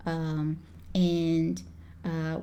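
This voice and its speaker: A woman in her thirties from Malaysia talks as a podcast guest, slightly low in pitch. Her accent slides between Australian, British and American. She rambles easily, full of fillers and quick restarts. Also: completely flat delivery, dark tone. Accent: mixed Australian, British and American. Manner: chatty, laughing, frequent fillers and restarts. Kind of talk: casual chat.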